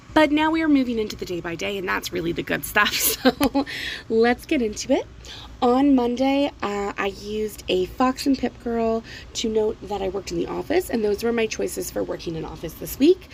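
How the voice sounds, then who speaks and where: A woman in her thirties with a croaky voice, recovering from laryngitis, chatting casually in a rambling, self-deprecating voiceover at home. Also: medium pitch, slight background noise, low-quality recording. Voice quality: croaky, gravelly voice. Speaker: planner vlogger. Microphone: voiceover mic.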